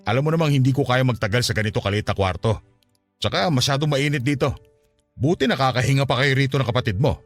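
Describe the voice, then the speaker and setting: Actor as bossy, fast-talking Filipino boyfriend. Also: male voice, mid-range voice, faint music behind, close to an everyday voice. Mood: bossy, assured. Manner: brusque, questioning, domineering. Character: controlling, well-off boyfriend back from abroad, suspicious and bossy. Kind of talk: Filipino radio drama acting.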